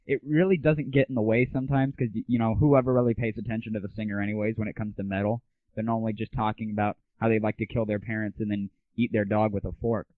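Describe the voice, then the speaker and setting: A man's voice: fairly low pitch, no room sound. Tinny phone-like audio, dry close mic, man, blunt irreverent show host, casual opinionated ranting, exasperated. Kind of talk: casual opinionated gaming and music rant.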